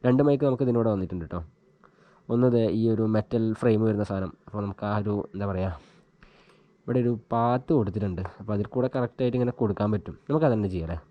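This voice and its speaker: A man's voice: on the low side, very fast, dry recording, lo-fi sound. A man chatting casually as he gives a hands-on tutorial, thinking aloud informally while he works. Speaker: vlogger giving a hands-on tutorial. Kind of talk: casual chat while demonstrating an installation. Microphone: action camera mounted on a helmet he wears.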